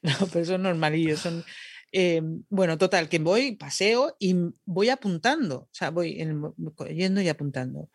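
A woman's voice: fairly low pitch, lively intonation, normal speed, husky, dry recording, studio quality. Chatty woman, podcast guest. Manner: chatty, self-deprecating, laughing. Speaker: podcast guest, radio announcer. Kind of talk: casual interview chat.

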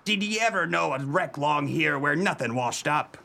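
An actor playing a gruff, peg-legged male pirate in a comic radio play, speaking broad pirate dialect with growled exclamations. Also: fairly high pitch, lively intonation, normal speed, pushed and bright, exaggerated voice. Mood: suspicious.

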